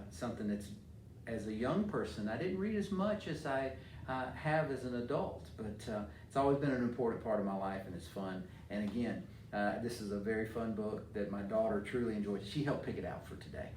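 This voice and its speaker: A man's voice: fairly low pitch, darkish tone. A middle-aged American man speaking informally to children as a community leader and storybook reader. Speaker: mayor reading to children. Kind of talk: informal talk and storybook read-aloud for children. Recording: roomy echo, distant mic, faint noise.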